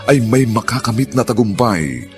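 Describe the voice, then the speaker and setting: Dramatic guy announcing a radio soap, big swooping delivery, loud music behind him. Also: mid-range voice, very animated. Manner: theatrical, declamatory, drawn-out title phrases. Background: prominent music.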